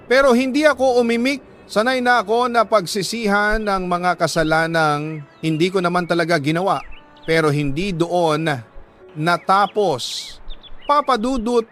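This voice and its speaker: A Filipino man reads a first-person letter as dramatic scripted narration, in short, measured phrases. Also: sits high, fairly bright tone.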